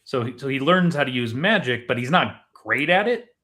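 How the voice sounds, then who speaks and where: A man talking casually as a podcast co-host, explaining things in a measured way. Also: medium pitch, animated, bright, forceful tone. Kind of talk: casual movie chat.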